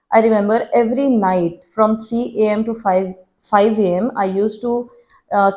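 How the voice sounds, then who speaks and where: A woman in her thirties whose first language is Hindi talks through viewer questions in a casual vlog, reading each one aloud before answering. Her voice is mellow and dark in tone, with an ordinary middle pitch. She sounds earnest and reflective. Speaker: vlogger.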